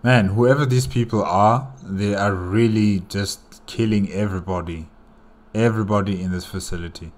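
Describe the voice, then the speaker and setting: A man's voice: on the low side, steady tone, normal speed, soft background music. A man giving casual reaction commentary in offhand, muttered remarks. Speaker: reaction video host. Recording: clear background noise.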